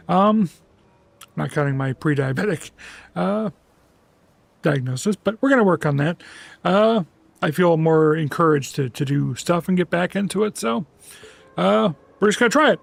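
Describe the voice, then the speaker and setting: Gravelly man chatting on a livestream. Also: fairly high pitch, moderate pace. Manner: rambling, many restarts and fillers; relaxed, soft-spoken. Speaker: streamer. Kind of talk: casual livestream chat. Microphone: headset.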